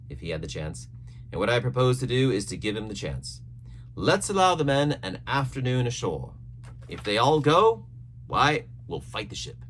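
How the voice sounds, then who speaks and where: A man voicing a blunt, resolute ship's captain in an adventure storybook, speaking briskly and formally. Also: fairly low pitch, fairly bright tone, a little hiss or hum. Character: blunt, resolute male ship's captain planning against mutiny.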